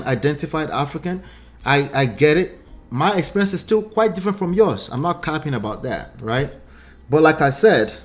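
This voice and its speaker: A man in his forties with a Nigerian accent, reading viewer comments aloud and answering them with blunt rhetorical questions. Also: medium pitch. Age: 40s. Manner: emphatic, repeats points, asks pointed rhetorical questions. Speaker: relationship commentary host.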